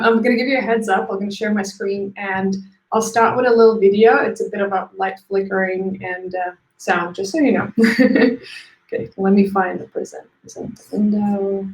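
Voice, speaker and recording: A woman's voice: medium pitch, moderate pace. A woman gives an informal, light-hearted talk as a guest designer on an online call. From Latvia. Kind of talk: informal career talk presentation. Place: indoors, on a live video call. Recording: roomy, echoey online call.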